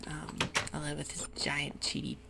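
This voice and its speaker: A woman whispering softly through a relaxed, rambling ASMR game commentary. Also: low voice, moderate pace, creaky. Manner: soft whisper, rambling and easygoing. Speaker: YouTuber playing a game. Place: home room with sprinklers outside.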